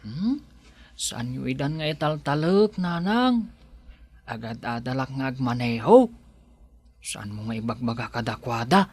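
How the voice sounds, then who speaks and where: A man's voice: medium pitch, sing-song, measured pace, pauses often, a little mellow, breathy edge, exaggerated voice. An actor with an Ilocano accent playing an earnest young village man who drives for a living, in a radio drama. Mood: earnest, explaining.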